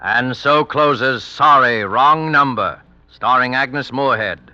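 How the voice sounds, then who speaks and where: A man's voice: mid-range voice, slow pace. A man announcing a 1940s radio drama in a formal, scripted style. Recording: dull 1940s radio recording. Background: music.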